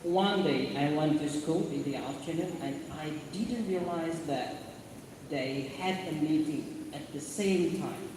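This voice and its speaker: Male guest speaker, Indonesian accent, halting storyteller checking in with listeners; distant mic, clearly noisy room. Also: a little high. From born in South Sulawesi, grew up in Malaysia. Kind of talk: personal storytelling to a student audience. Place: large echoey room at an event. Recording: very echoey hall, distant mic, loud noise.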